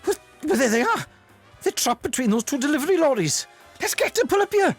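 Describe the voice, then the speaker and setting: A man acting an exasperated, excitable senior detective in a comic audio drama, urgent and full of exclamation. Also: extremely high pitch, a little fast, soft background music, exaggerated voice. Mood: urgent, alarmed.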